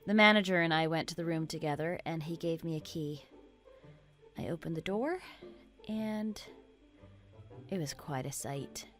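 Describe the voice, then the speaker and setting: A woman voices a troubled wife in a narrated drama story. She explains things in a measured, somewhat low voice over faint background music. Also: natural voice. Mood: troubled, recounting. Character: wronged wife recounting her suspicions, apologetic and troubled. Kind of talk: scripted first-person story narration.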